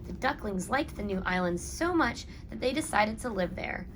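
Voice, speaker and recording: A woman's voice: mid-range voice, lively intonation, moderate pace, noisy background. A woman reading a children's picture book aloud and speaking to young listeners in an instructive way. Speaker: story reader and reading teacher.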